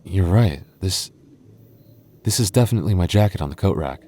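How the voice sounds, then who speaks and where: A man voicing an affectionate, teasing young goth boyfriend in an ASMR roleplay, talking softly and intimately. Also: low-pitched, expressive, fairly quick, slight background noise. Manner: soft, intimate, playfully teasing. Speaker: voice actor.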